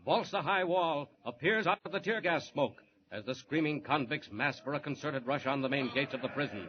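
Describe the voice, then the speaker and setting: A man's voice: fairly high pitch, lively intonation, a little fast. A man narrating and announcing a 1940s radio serial in a declamatory, suspenseful style, on a lo-fi 1940s radio transcription.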